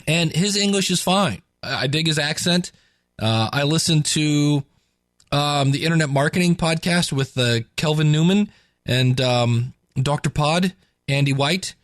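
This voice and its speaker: A man's voice: a little high, unhurried, no room sound, clean studio sound. A man hosting a podcast, who breaks in to talk to his listeners in a chatty, enthusiastic way. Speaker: podcast host. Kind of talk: casual podcast commentary.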